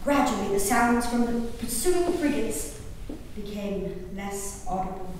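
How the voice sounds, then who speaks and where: A woman performing a historical diary as a resolute, haunted gentlewoman recalling peril at sea, in theatrical, measured phrases with dramatic pauses. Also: mid-range voice, lightly acted. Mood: grave, tense. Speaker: stage performer. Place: live reading in a reverberant hall. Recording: distant echoey hall, noisy room recording.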